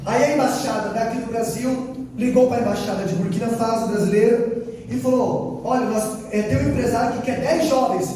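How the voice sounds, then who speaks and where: A man's voice: very high voice, fairly even intonation, moderate pace, mellow, slight background noise. A male missionary from Brazil preaching a sermon testimony, telling a story and quoting dialogue with repeated phrases for emphasis. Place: church hall. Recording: echoey hall from far off, faint ambience.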